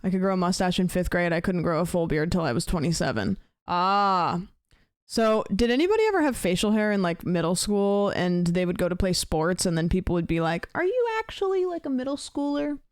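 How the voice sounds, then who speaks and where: A woman's voice: fairly low pitch, expressive, fairly quick, clear, resonant tone, no room sound, clean studio sound. Chatty woman streamer in her late twenties. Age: late 20s. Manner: rambling, chatty, lots of fillers. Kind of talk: casual chat with viewers.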